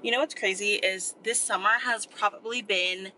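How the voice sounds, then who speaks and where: A woman's voice: medium pitch, steady tone, normal speed, sharp tone, a little hiss or hum, poor audio. A young woman in her twenties from Singapore, a photographer chatting casually to her camera in a rambling, filler-heavy way. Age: 20s. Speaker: vlogger, photographer. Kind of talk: casual vlog chat. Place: car, small office, then home room. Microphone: camera-mounted mic, held close or on tripod.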